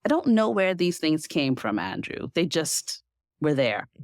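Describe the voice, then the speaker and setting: A woman in her forties from the Bahamas with a Bahamian accent, a podcast guest telling her story in a lively way and laughing often. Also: deep voice, measured pace, no room sound, studio quality. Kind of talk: casual interview chat.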